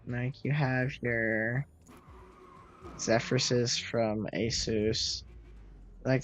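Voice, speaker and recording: A young man in his late teens with a loud, projecting voice, rambling casually to his viewers while he games and answers their messages. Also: medium pitch. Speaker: streamer. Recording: slightly muffled, faint game music behind.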